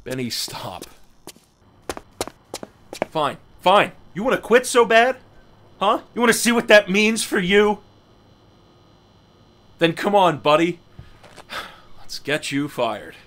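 A man playing a firm, cynical agent in an animated short, talking bluntly and dismissively. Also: high voice, slow, pauses often, exaggerated voice. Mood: exasperated. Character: firm, cynical male agent handling a reluctant employee. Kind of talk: animated short film dialogue.

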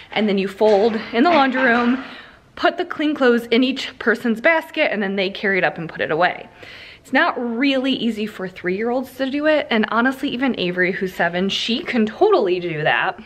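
A woman in her thirties with an American accent talks casually to her viewers. She has a mid-pitched voice and explains things in a chatty, informal manner, like a home vlogger indoors. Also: fairly bright tone. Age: 30s. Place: indoors in a house.

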